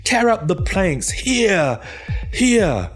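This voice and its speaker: A man's voice: high voice, very animated, extremely slow, slightly rough, faint music behind, full character voice. A man playing an obsessive, paranoid murderer in dramatic gothic horror narration, theatrical and emphatic, repeating his words. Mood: hysterical. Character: mad, obsessive murderer confessing, paranoid and gleeful.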